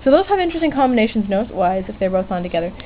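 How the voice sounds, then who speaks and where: A woman's voice: medium pitch, sing-song, very fast, soft background music. A woman giving a casual, unscripted hobby demonstration, chatty and hesitant, often correcting herself as she goes. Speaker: DIY electronics hobbyist presenter. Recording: tinny lo-fi webcam-era audio, background noise.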